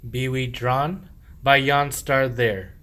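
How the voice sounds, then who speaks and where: A man's voice: medium pitch, steady tone, takes it slowly, over loud music, lightly acted. A man playing a humble, devout medieval shepherd in a radio play, declaiming rhymed verse. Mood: awed.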